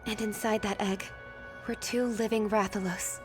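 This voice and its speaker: An actress playing an earnest, wistful young princess in a video game, speaking in a reflective, heartfelt way. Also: female voice, medium pitch, steady tone, moderate pace, music in the background, natural voice. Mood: wistful, solemn. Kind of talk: video game cutscene acting.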